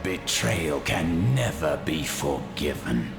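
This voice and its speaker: A man's voice: extremely low pitch, big pitch swings, takes it slowly, vocal fry, exaggerated voice. A man playing a proud, stern templar warrior in a fantasy game, formal and righteous with solemn, declamatory delivery. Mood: bitter, stern. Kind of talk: heroic fantasy game acting. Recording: echoing, under loud game music.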